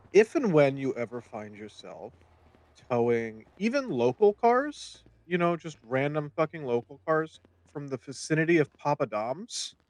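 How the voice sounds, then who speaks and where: A man talks casually over a voice call, rambling on and swearing freely. His voice sits at a middling pitch. The sound is dry and close. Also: dry recording. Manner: loose, rambling, casually profane. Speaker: fellow roleplayer on a call. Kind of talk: casual chat.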